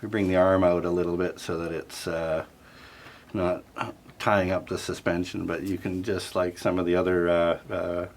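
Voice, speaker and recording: A man with a low voice walks through technical product details in an informal demonstration. His delivery is halting and explanatory, with clear noise behind him. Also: flat delivery. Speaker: audio dealer and turntable restorer giving a product tour.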